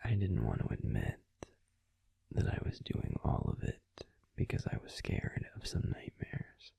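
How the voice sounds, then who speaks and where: A man playing a grumpy but tender werewolf boyfriend in an intimate sleep-aid audio roleplay, confiding casually in a breathy, husky, unhurried whisper, close to the microphone. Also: very deep voice, wildly swooping pitch, warm tone, no echo, exaggerated voice. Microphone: close microphone, dry.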